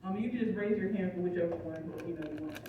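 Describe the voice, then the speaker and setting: A woman's voice: lowish voice, fast talker, narrow, tinny audio. A woman teaching a college class, explaining step by step and often checking that the students follow. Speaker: college instructor. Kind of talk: classroom lecture. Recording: echoey classroom, distant phone recording, background noise.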